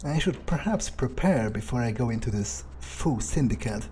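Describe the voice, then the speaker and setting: A man's voice: mid-range voice, expressive, moderate pace, music in the background, natural voice. A man playing a callous, cynical vampire in a game playthrough, thinking aloud in a sardonic, sometimes profane mutter. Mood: musing. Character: cynical, callous male vampire, casually menacing. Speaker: let's player voicing his own character.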